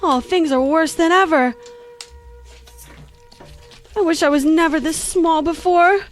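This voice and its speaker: A woman's voice: very high voice, unhurried, soft background music. A woman playing a curious, polite little girl who chatters earnestly and gets flustered. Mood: chattery. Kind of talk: audio drama acting.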